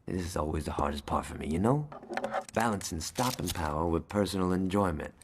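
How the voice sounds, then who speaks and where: Game gangster: lowish creaky voice, swaggering wiseass, noisy background. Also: male voice, brisk. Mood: cocky. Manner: taunting, swaggering. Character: cocky, wisecracking male gangster. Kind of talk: video game cutscene acting. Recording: clear background noise.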